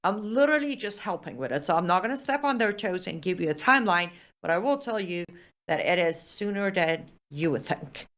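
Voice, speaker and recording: A woman's voice: fairly low pitch, animated, brisk, a bit bright. A woman with a Persian accent, hosting a live show and chatting through viewer comments, with many fillers and restarts.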